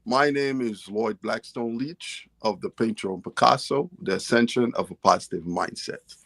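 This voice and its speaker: A man's voice: lowish voice, moderate pace, fairly bright tone, dry recording. A man from Guyana, a guest author and poet, telling his story in a casual interview in an earnest, storytelling way.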